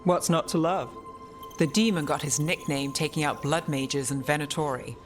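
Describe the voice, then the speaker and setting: An actor playing a cool, dry-witted investigator in a fantasy video game, wry and matter-of-fact. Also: male voice, a little high, steady tone, moderate pace, soft background music, close to an everyday voice. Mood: confident, wry.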